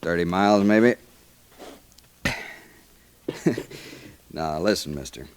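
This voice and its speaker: Low-voiced, plain-spoken frontier newspaperman. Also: takes it slowly, clear, resonant tone, no echo. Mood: wary, frank. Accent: American. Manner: plain-spoken, casual, terse. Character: laid-back, hungover American frontier newspaperman. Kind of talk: old-time radio western acting.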